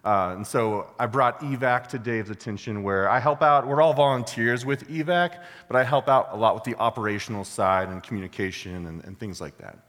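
A man's voice: medium pitch, brisk, hardly any pauses, a bit bright. A man speaking as a volunteer guest at a church service, hesitant and full of fillers. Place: church sanctuary. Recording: long hall reverb.

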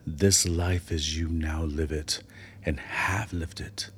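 A man playing a brooding doctor in a radio drama, reciting in a husky voice. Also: a bass voice, fairly even intonation, slow pace, a bit bright, a little hiss or hum, heavily acted. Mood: brooding, hushed. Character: brooding male doctor reciting aloud alone.

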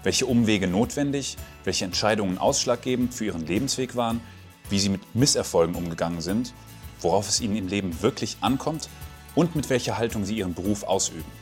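A man reading a scripted podcast introduction in a measured cadence. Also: fairly low pitch, expressive. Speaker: podcast host. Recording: faint guitar music behind.

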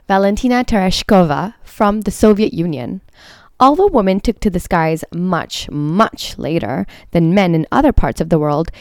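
A woman's voice: mid-range voice, a little mellow, dry recording. A woman hosting a podcast, reading scripted narration. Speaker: podcast host and interviewer.

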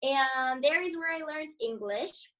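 A teenage girl whose first language is Greek speaks as a guest in a hesitant, halting way. Her voice is high, and she sounds set back from the mic. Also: sharp tone. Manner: hesitant, lots of "like". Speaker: young guest. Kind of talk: casual chat. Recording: distant from the mic.

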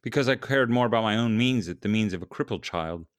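A man's voice: on the low side, fast talker, clear, resonant tone, no echo, studio quality, lightly acted. Man acting a brooding, grieving Victorian writer. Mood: lamenting. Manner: impassioned, lamenting. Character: brooding, grieving Victorian male writer, passionate and guilt-ridden.

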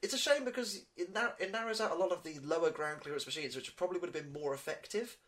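A man hosting a casual podcast chat, talking quickly with frequent restarts and fillers. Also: high voice, fairly bright tone. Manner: fast, restarts often, lots of "you know". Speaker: podcast host.

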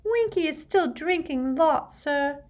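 A woman voicing a small, eager male house elf for a storybook, squeaky and deferential, talking like a devoted servant. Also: animated, takes it slowly. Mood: sad. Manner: deferential, speaks of himself in third person.

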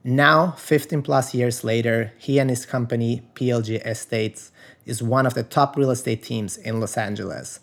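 A man's voice: medium pitch, steady tone, moderate pace. A man from Sweden hosting a podcast interview, reading a guest introduction and asking questions. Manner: reads guest introduction, brief affirmations. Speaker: podcast host.

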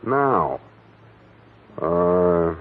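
An actor playing a stern police captain who reads out statements in an officious way, in 1940s radio acting style. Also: male voice, sits low, big pitch swings, very slow, exaggerated voice. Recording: tinny old radio broadcast.

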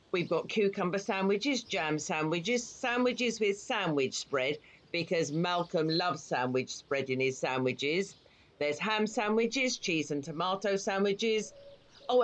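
A woman plays an older mother in an audio drama, a fussy and effusive hostess. She takes her words slowly and is quick to fuss over guests and husband. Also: fairly low pitch. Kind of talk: audio play acting.

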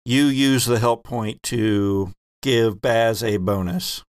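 A man serving as game master, narrating scenes slowly and explaining the rules to players. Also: mid-range voice, no room sound, clean studio sound.

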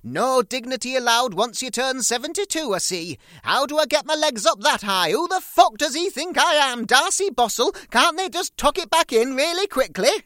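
A man puts on a crotchety British woman in her seventies for a comic drama. The voice is pitched far up, shrill and forceful, full of suspicion and indignant complaint. It sounds close and dry. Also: lively intonation, normal speed, hardly any pauses, no room sound, exaggerated voice. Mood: outraged. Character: cantankerous, foul-mouthed, suspicious old mother in her seventies.

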